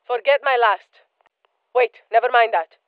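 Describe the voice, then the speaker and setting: A tough female special forces operator from a military video game, voiced by a woman, barking clipped callouts and commands. Her voice sits high and bright for a soldier. The studio sound is completely dry and close. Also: normal speed, smooth, dry recording, narrow, tinny audio, natural voice.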